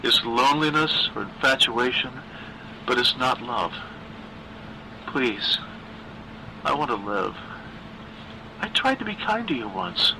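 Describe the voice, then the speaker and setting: A man voicing a weary, frightened middle-aged woman who reasons and coaxes in an earnest, halting way in a horror story. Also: mid-range voice, expressive, noisy background, voice pushed up. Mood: frightened pleading.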